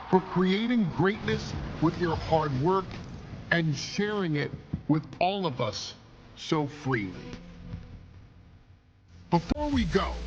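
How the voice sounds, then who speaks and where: A man's voice: a little high, highs cut off, full character voice. A man delivering grand, dramatic scripted narration as the host of a video game, speaking directly to the player. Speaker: showcase mode host and narrator. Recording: music under the voice. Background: music.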